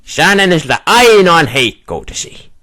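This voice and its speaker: A man voicing a sinister, wrinkled old dark lord who gloats ominously in drawn-out phrases, in a comic cartoon parody dub. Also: a little high, sing-song. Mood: gloating menace.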